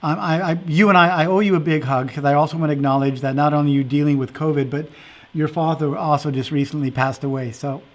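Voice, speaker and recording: A man with a fairly high voice hosts a casual interview. His talk is earnest and meandering, and he keeps restarting his sentences with fillers. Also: fairly even intonation, fast talker. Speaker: festival host interviewing dancers.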